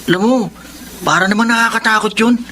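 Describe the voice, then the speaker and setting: An actor playing a caring, worried young provincial sweetheart in a radio drama. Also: male voice, high voice, quick pace, sharp tone, a little hiss or hum. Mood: worried, tender. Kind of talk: Tagalog radio drama acting.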